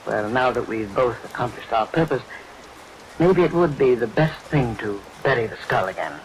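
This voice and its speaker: An actor playing a nervous, evasive man hiding guilt, halting and apologetic, in vintage radio thriller style. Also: medium pitch, animated, close to an everyday voice, rough recording. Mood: uneasy, scheming. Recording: vintage radio recording, hiss, rain behind.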